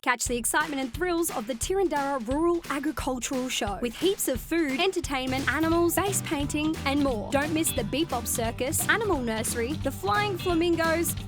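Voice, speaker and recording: High-pitched upbeat woman ad announcer. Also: animated, a little fast, hardly any pauses. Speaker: radio ad announcer. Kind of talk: upbeat ad read. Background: music.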